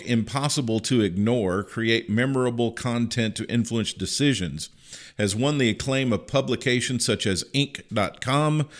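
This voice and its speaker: A middle-aged man hosts an interview podcast. His voice sits on the lower side, and he sounds upbeat and friendly as he asks his questions. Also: fairly bright tone. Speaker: podcast host.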